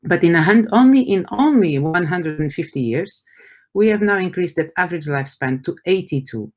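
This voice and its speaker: A man's voice: sits high, expressive. Male professor from Portugal giving a lecture. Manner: explanatory, halting with repeated words and rhetorical questions. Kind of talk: academic lecture to an audience.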